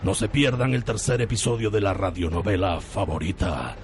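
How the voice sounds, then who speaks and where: A man's voice: low voice, moderate pace. A man with an accent from Spain, hosting and narrating theatrically on the radio. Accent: Spanish, from Spain.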